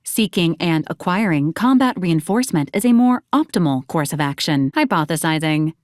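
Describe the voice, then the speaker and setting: A woman voicing a polite, literal robot companion in a video game, speaking in formal, clipped, deadpan advisories with dry caution. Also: lowish voice, normal speed, dry recording, lightly acted. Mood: dryly advising. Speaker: companion unit reporting to a commander.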